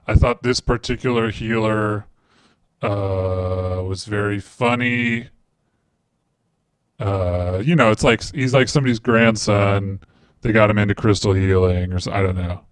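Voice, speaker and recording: A man's voice: lowish voice, steady tone, measured pace, dry recording. A man making dry, mocking commentary over video clips. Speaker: comedy commentator.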